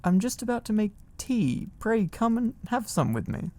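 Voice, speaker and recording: A fussy little hobbit homebody, acted out by a man for a storybook. He speaks in a raised, flustered pitch, stiffly polite and nervous, as if hurrying to be proper. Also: sing-song, moderate pace, a little mellow. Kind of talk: storybook character voice.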